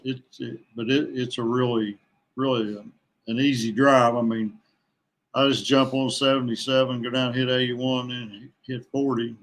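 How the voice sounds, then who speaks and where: A man's voice: mid-range voice, level tone, normal speed, fairly bright tone, rough recording. Man, mid-range voice, podcast guest, informal conversational chat, veteran angler talking casually.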